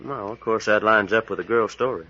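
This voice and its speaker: An actor playing a brash, skeptical adventurer sidekick with a blunt, folksy manner in a 1940s radio serial. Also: male voice, on the low side, brisk, bright, forceful tone. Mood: casual. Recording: muffled vintage 1940s radio recording.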